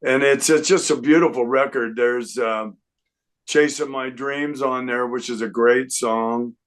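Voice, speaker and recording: An older man, a guest singer, chatting casually in an unhurried, rambling way with lots of fillers. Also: medium pitch, fairly even intonation. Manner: breaks into sung snippets. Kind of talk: casual interview chat.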